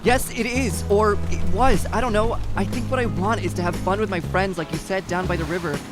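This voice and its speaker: A man's voice: sits high, brisk, loud music behind the voice, lightly acted. A man playing an earnest, anxious young hiker in an amateur audio drama. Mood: alarmed.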